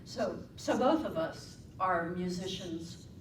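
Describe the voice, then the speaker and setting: Singer lady, super slow and unhurried, bit of humor, echoey hall sound. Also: fairly low pitch. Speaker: voice actor and trained opera singer. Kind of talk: stage interview. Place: performing arts center stage. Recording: echoey hall, distant mic, faint hum.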